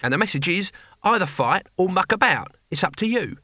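A man's voice: high-pitched, animated, fairly quick, bright, forceful tone, no room sound, studio quality, pitched above the performer's own voice, exaggerated voice. Man acting a blunt, defiant old barbarian. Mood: cool challenge. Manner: blunt, rough colloquial talk. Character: gruff, defiant old male barbarian leader.

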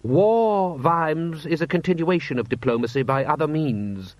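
A man's voice: a little high, a little slow, dry recording, voice pushed up, natural voice. A man voicing a haughty, stiff military lord with pompous, condescending formal speech.

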